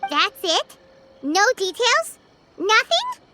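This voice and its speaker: Squeaky childlike fairy companion, extremely high, wildly lively pitch, perky, confident, video game. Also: female voice, slow, exaggerated voice. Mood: incredulous. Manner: perky, speaks of herself in third person. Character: tiny childlike floating fairy companion, female, chirpy and confident. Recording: loud music behind voice.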